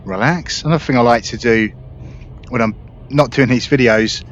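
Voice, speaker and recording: A man hosting a gaming video with casual, relaxed, explanatory commentary. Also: medium pitch, normal speed. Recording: slightly dull audio, faint game noise.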